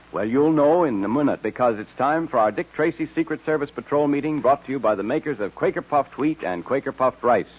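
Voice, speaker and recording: A man's voice: mid-range voice, slightly rough. A man announcing and selling in an old radio style, emphatic and salesman-like, as if addressing children. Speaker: announcer and sponsor pitchman. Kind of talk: 1930s-40s radio announcing and advertising. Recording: muffled vintage radio broadcast recording.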